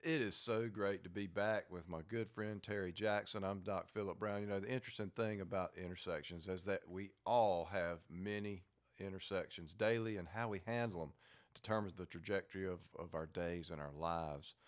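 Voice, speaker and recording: A man co-hosting a talk show, speaking in a relaxed, slightly halting way. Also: deep voice. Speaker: co-host.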